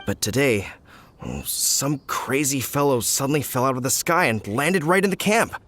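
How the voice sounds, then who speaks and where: Grumbling flustered fruit seller. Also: male voice, medium pitch, big pitch swings, moderate pace, fairly bright tone, faint music behind. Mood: fretful. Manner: fretful, complaining. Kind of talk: video game voice acting.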